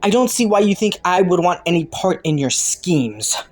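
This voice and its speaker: A woman's voice: lowish voice, expressive, moderate pace, a little mellow. A woman voicing a clever, flirty underworld rogue in an audio roleplay, teasing and taunting a detective with sardonic, sultry playfulness. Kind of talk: roleplay audio drama, intimate monologue to the listener.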